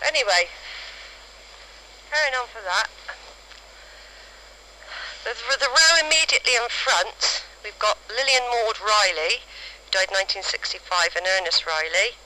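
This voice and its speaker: A woman recording halting, spontaneous field notes outdoors on a cassette recorder, describing things piece by piece. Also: mid-range voice, animated, pushed and bright. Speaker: amateur family historian recording an audio diary. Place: outdoors in a cemetery. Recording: faintly noisy amateur cassette recording.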